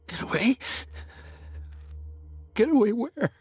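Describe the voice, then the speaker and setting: A haunted, half-mad prisoner in a horror game. His voice is a badly ragged, gravelly rasp that swings wildly between whispers and cries. He speaks slowly and feverishly, as if cursed and hunted. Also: medium pitch, big pitch swings, pushed and bright, dry recording, full character voice. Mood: fearful, warning. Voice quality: raspy, gravelly. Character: blinded, terrified, half-mad male asylum prisoner raving of a curse. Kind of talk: dramatic video game voice acting.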